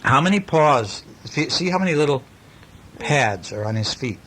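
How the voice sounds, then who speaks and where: A man's voice: medium pitch, expressive, moderate pace, a bit bright. A man talking casually as a father with his small children over a picture book, coaxing them with questions and praise. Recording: noisy home recording with clicks.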